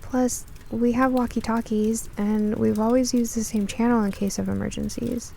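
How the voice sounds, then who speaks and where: A woman's voice: a little high, little pitch movement, moderate pace, vocal fry, warm tone, slight background noise, pitched above the performer's own voice. A woman voicing a curious, upbeat, science-minded girl who speaks earnestly in a radio drama. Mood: practical.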